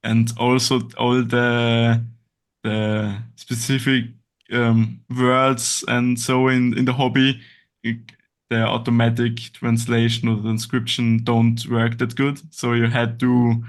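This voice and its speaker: Austrian guy talking english slowly, stops and restarts a lot, not his first language. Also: medium pitch. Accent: Austrian German. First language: German. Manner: halting English, searching for words, frequent restarts. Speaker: guest. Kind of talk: casual interview chat.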